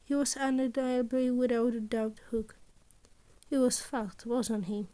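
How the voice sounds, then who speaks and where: A woman's voice: fairly high pitch, fairly even intonation, unhurried, warm tone. A woman reading scripted fiction aloud as the narrator of an audio story. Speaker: podfic narrator.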